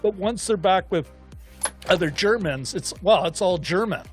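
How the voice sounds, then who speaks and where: A man co-hosting a film discussion show, chatting casually in a rambling, animated way as he thinks aloud. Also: high-pitched, moderate pace, faint music behind. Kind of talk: casual chat.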